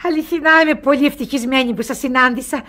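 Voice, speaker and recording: A woman's voice: high voice, bright, forceful tone. An actress in a radio play, playing a whimsical, bored aristocratic lady in her sixties with a theatrical, effusive manner. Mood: delighted, gushing.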